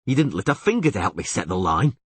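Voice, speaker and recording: A man voicing a gruff, curt male monster hunter who scolds bluntly and dryly in an audiobook. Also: deep voice, big pitch swings, fast talker, no room sound, full character voice. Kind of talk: audiobook character voice.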